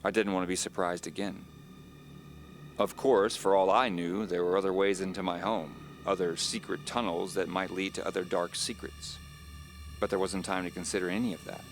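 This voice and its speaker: Knox Carter, deep-voiced mystery narrator. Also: male voice, steady tone, moderate pace, a little hiss or hum. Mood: wary, resolute. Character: Knox Carter, uneasy man recounting his mystery firsthand. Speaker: narrator of an audio drama. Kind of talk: scripted first-person mystery narration.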